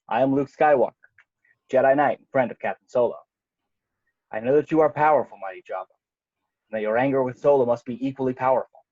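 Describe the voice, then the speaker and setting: A man plays a calm young knight in a sci-fi table read. He speaks quickly in formal, diplomatic phrases. The audio is dry and a little muffled. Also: mid-range voice, dry recording, close to an everyday voice. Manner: measured, diplomatic wording. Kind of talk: amateur table read over video call. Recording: muffled video-call audio.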